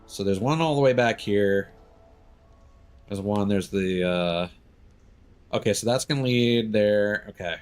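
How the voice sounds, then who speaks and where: A man's voice: fairly low pitch, unhurried, long pauses, clean tone, faint music behind. A man giving casual live gameplay commentary as a streamer, thinking aloud as he plays. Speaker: game streamer.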